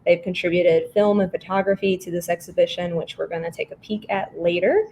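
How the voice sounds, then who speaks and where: A woman's voice: on the low side, a little fast, a little mellow, slight background noise. A woman hosting an online artists' panel, introducing guests in a conversational way over a video call.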